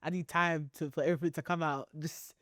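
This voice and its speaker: Stuffy-nosed woman, lively podcast chat. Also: low voice, a little fast, no room sound, clean studio sound. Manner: lively, laughs often, playful retellings. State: stuffy nose from hay fever. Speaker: podcast co-host. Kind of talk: casual chat.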